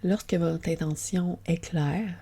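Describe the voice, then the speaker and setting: Soft, breathy woman guiding sleep relaxation. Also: fairly low pitch, fairly even intonation. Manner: gentle, soothing, unhurried. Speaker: yoga nidra guide. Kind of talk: guided sleep relaxation, meditation narration.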